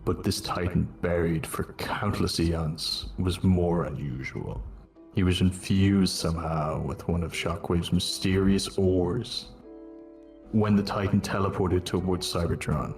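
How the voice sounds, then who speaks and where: A man voicing a weary, noble robot war commander in a cartoon fan dub, grave, measured and earnest. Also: deep voice. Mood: grave, resolute. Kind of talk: dramatic first-person narration in a cartoon fan dub.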